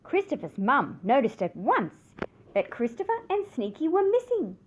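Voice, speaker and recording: A woman reading a children's picture book aloud with expressive, dramatic emphasis. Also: high-pitched, normal speed, a little hiss or hum. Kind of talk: scripted children's story narration.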